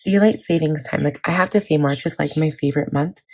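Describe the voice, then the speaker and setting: A woman in her thirties, hoarse from laryngitis, chatting casually about her planner with many fillers. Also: deep voice, fairly quick. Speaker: planning vlogger. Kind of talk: casual chat walking through a planner.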